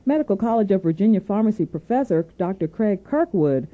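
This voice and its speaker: A woman anchoring a scripted radio newscast, reading in a formal, measured way. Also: medium pitch, animated. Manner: formal, measured newsreading delivery. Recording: narrow tinny AM radio aircheck.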